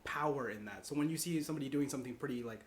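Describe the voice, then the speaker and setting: A man's voice: medium pitch, very fast. A man chatting casually as a podcast guest, explaining his ideas in a rambling, conversational way. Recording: clear background noise.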